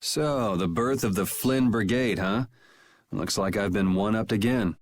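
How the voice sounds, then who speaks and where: An actor playing a laid-back, sardonic young rebel in a video game, speaking casually and dryly. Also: male voice, on the low side, measured pace. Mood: cool, supportive. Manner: casual, wry, dry.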